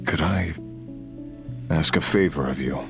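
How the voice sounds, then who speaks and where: Fallen villain for a fantasy game: extremely low register, slow, sombre and remorseful, music underneath. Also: male voice, gravelly, heavily acted. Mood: solemn, pleading. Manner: slow, grave, halting delivery with heavy pauses. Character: resurrected male abyss-corroded warrior, sombre, weary, remorseful former villain. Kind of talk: dramatic video game dialogue.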